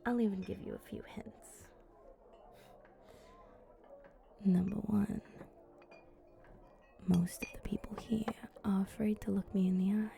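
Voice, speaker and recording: Flirty vampire woman for ASMR roleplay, female performer: mellow, breathy and unhurried, coaxing and seductive, music behind. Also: medium pitch, level tone. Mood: flirty teasing. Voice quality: breathy, often dropping to a whisper. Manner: slow, teasing, coaxing.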